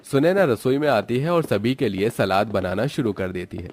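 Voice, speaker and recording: A man's voice: lowish voice, animated, moderate pace, darkish tone, faint music behind. A man narrating a scripted moral story as its storyteller.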